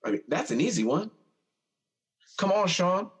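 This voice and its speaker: An actor playing a teasing, insistent young older brother in an audio drama, drawling and stammering at times. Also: male voice, high voice, sing-song, moderate pace. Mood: eager, pressing. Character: teasing older brother, a restless young man who has died. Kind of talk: stage-style audio drama dialogue.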